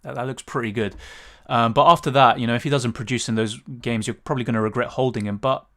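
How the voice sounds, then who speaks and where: A man's voice: medium pitch, steady tone, fast, fairly bright tone. A man with a British accent, chatting casually on a livestream and answering viewer questions with lots of fillers. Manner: chatty, thinks aloud with many fillers and restarts. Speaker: fantasy football streamer.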